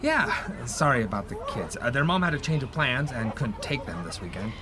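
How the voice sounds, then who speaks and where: An actor playing an awkward, harried, overeager divorced dad on a date in a radio play. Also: male voice, medium pitch, sing-song, moderate pace, a crowd in the background, natural voice. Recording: animal noises behind the voice. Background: zoo animal ambience.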